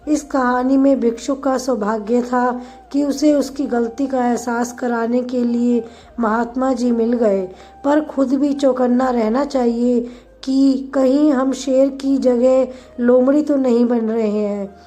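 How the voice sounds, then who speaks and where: A woman narrating a scripted moral story in a measured, instructive way. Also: a little high, fairly even intonation, dark tone.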